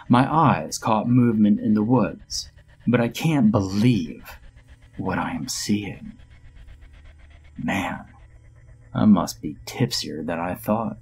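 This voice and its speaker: A man narrating a first-person horror story in a steady storytelling voice with dry humor. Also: on the low side. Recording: music bed under the voice.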